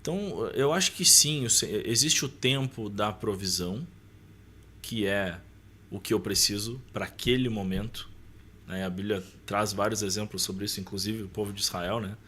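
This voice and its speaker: A man's voice: on the low side, moderate pace, bright, forceful tone, slightly rough. A man with a Brazilian Portuguese accent speaking as a podcast guest, colloquial and emphatic, explaining with examples in a preacher-like way. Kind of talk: casual conversation with preaching tone.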